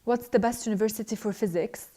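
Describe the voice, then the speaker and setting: Young woman, alumna hosting a live Q&A. Mellow, relaxed voice, earnest, halting with fillers. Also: mid-range voice. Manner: earnest, hesitant, frequent filler pauses. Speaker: scholarship alumna answering viewer questions. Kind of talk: live online Q&A, reading questions then answering.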